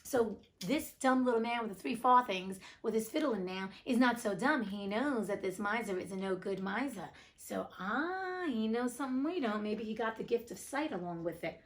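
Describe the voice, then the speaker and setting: A woman reading a storybook aloud as host, playful and expressive, breaking off for chatty, casual asides. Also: on the high side, moderate pace, few pauses.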